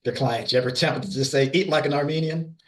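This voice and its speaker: A man's voice: medium pitch, fast. A man co-hosting a casual interview, eager and jokey. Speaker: co-host.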